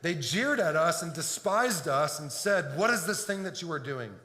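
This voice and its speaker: Earnest pastor preaching in an echoey hall. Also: male voice, a little high, expressive, normal speed, pushed and bright. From Detroit. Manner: earnest, repeats words when building a point. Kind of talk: sermon. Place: church sanctuary. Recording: echoey hall with long reverb.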